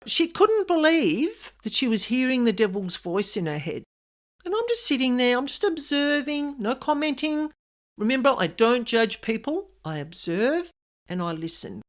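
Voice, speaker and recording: Woman with very animated, swinging pitch. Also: moderate pace. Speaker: podcast host and paranormal specialist. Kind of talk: conversational podcast monologue.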